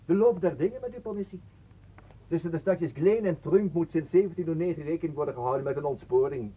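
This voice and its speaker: An actor playing a talkative, mock-polite wanderer with florid, absurd philosophy in a radio play. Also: male voice, a little high, quick pace, slightly rough. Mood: mock-courteous. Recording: muffled, narrow old radio recording.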